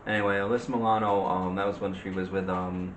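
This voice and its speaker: A soft-spoken man co-hosting a casual movie chat, hesitant and searching for words. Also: low-pitched, flat delivery, fast talker, highs cut off. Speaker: co-host of a movie review show. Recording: distant, noisy, echoey room.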